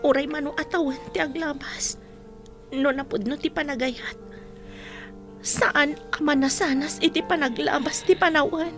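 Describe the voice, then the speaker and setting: High-voiced devoted young Filipino sweetheart. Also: female voice, steady tone, normal speed, music in the background. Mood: tender, devoted. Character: devoted, long-waiting young sweetheart confronting a rival wife. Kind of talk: Filipino audio drama acting.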